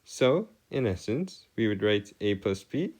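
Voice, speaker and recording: Low-voiced male math tutor, swooping intonation. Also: sing-song, darkish tone. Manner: formal wording, halting, self-correcting. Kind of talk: improvised explanatory lesson at a digital whiteboard.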